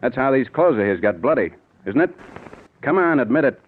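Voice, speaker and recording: An actor playing a blunt, skeptical American detective captain in 1940s radio style, on a tinny old broadcast. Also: male voice, medium pitch, big pitch swings, a little fast, slightly rough. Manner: blunt, commanding, sardonic.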